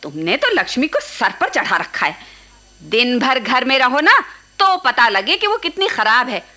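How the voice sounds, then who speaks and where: A middle-aged aunt in a radio drama, sharp-tongued and forever complaining. Her high, piercing voice swings widely as she nags and throws sarcastic barbs. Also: big pitch swings, unhurried, heavily acted. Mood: scolding. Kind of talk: Hindi radio play acting.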